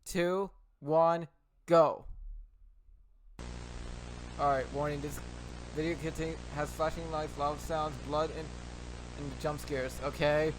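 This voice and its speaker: Higher-pitched man doing casual reaction commentary. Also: little pitch movement, takes it slowly, pauses often, background noise. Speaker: reaction video host.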